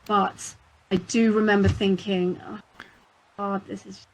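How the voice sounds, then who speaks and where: A woman voice actor answering panel questions in casual chat, laughing often and telling animated stories. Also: medium pitch, unhurried, slight background noise, low-quality recording. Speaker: voice actor guest.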